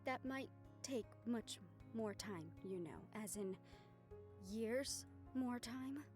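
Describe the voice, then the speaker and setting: A woman playing an affectionate, scheming young noblewoman in an intimate audio roleplay, speaking formally and measuredly with thoughtful pauses. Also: on the high side. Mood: tender, teasing. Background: background music.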